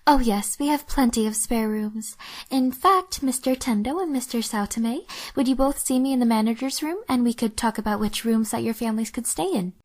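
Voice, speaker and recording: A courteous young female manager, acted by a woman in an audio play. Her voice is rather high, and her manner gracious and kind. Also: moderate pace, a little mellow, natural voice. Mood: polite. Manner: gracious, polite. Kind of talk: fan audio drama acting.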